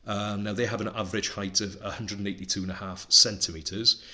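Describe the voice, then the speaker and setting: Bright-voiced man, chatty podcaster. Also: fairly low pitch, flat delivery, brisk. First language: Welsh. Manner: chatty, enthusiastic, reeling off facts and figures. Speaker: podcast co-host. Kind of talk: casual podcast chat.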